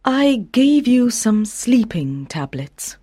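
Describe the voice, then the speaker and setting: A woman playing a worried, pleading mother in an audio story, speaking emphatically and deliberately. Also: mid-range voice, big pitch swings, a little mellow. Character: worried, pleading mother of a sleepless teenage son. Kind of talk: acted dialogue in an audio story.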